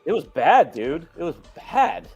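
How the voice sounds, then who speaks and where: A man's voice: fairly high pitch, sing-song, measured pace, darkish tone, husky, highs cut off, soft background music. A man co-hosting a live talk show, ranting in a heated, casual way.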